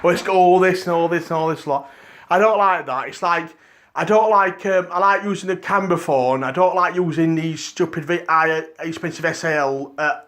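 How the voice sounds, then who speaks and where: Liverpool man, podcast host, dry croaky voice, rambles and restarts sentences. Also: a little high, moderate pace, a bit bright. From England.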